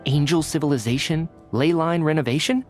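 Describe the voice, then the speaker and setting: An actor playing an earnest, careful young male researcher in a video game, speaking in a deliberate, articulate way as he reasons aloud. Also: on the high side, faint music behind, close to an everyday voice. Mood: analytical. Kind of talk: anime-style video game cutscene acting.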